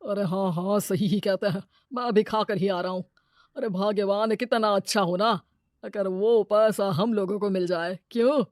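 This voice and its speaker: A woman's voice: mid-range voice, fairly even intonation, moderate pace, a little mellow, no echo, studio quality, lightly acted. A woman voicing a greedy, scheming village moneylender man in a moral story, brash and full of exclamations. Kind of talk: animated moral-story character dialogue.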